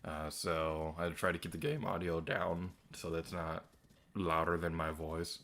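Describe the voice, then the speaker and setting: A man streaming a video game with a naturally quiet voice, chatting casually and a little self-deprecating as he plays. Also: deep voice, fairly even intonation, a little slow. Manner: soft-spoken, rambling and self-deprecating. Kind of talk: casual gameplay commentary.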